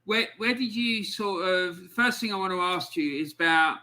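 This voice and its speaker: A man with a high, very bright voice hosts a relaxed podcast chat. He talks loosely and casually, stopping and restarting now and then as he sets things up. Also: normal speed. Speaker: podcast host.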